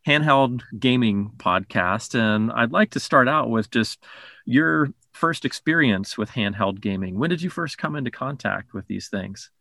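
A man's voice: mid-range voice, animated, measured pace, vocal fry, pushed and bright, no echo, studio quality. A man hosting a podcast, reading a polished introduction and then asking interview questions in an upbeat, articulate way. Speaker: podcast host.

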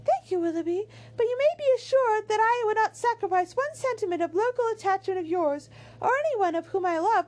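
A genteel middle-aged mother in a period storybook, played by a woman reader, pitched very high. She is effusive and gracious, speaking in earnest, formal phrases.

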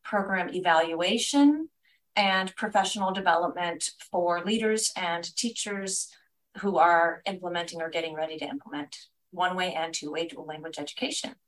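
A woman's voice: lowish voice, normal speed, fairly bright tone, rough recording. An American woman, a guest on an interview podcast, talking enthusiastically about her work with language education. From United States.

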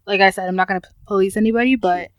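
A woman's voice: mid-range voice, fairly even intonation, very fast, no echo, studio quality. A woman speaking informally as a panelist in a casual group discussion. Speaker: podcast panelist.

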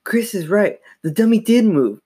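Man doing an excitable girl, pitched way up. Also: moderate pace, vocal fry. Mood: unsure. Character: excitable, doubtful young girl, the sister.

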